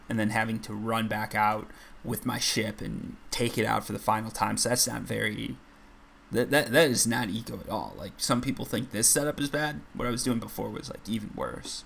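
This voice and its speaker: A man hosting a gaming channel, chatting casually and rambling with self-deprecating asides as he plays, his voice a little raspy. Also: mid-range voice, a bit bright, a little hiss or hum. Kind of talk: casual gameplay commentary.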